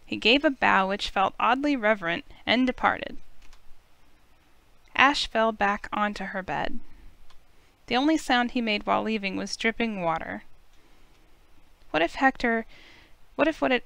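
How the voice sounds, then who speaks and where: A woman narrates a visual novel in a bright, forward voice with noticeable creak. It is expressive scripted reading, close and dry, over faint music. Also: mid-range voice, unhurried, pauses often, no room sound. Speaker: narrator and playthrough host.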